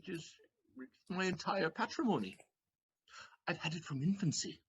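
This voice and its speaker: An actor playing an emotional, witty young manservant in an audio play, pleading and theatrical. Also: male voice, high voice, lively intonation, moderate pace, husky, full character voice. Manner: pleading, theatrical, laughs through tears. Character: emotional young male servant, witty and excitable.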